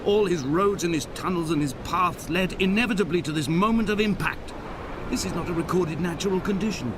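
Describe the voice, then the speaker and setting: An actor playing a grieving, injured castaway who narrates a video game in solemn, poetic, feverish monologue. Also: male voice, sits high, background noise, natural voice. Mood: desperate. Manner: solemn, poetic, deliberate delivery. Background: music.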